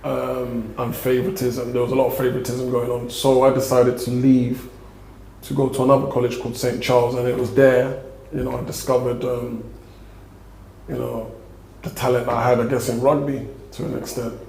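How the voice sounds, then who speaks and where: A man's voice: mid-range voice, flat delivery, moderate pace, vocal fry, darkish tone. A young man from South London with a South London accent, a guest giving laid-back, rambling answers in a casual interview. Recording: echoey room with faint noise.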